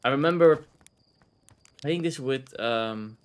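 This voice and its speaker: A man's voice: mid-range voice, slow pace, clean tone. A man from the Netherlands with a Dutch accent, chatting casually and rambling while streaming a video game, laughing often.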